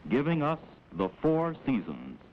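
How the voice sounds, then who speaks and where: Male narrator, American, for a 1940s classroom film: lowish voice, explanatory delivery, dull vintage soundtrack with faint noise. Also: huge pitch swings. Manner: measured, explanatory, instructive. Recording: dull, noisy old 16mm film soundtrack.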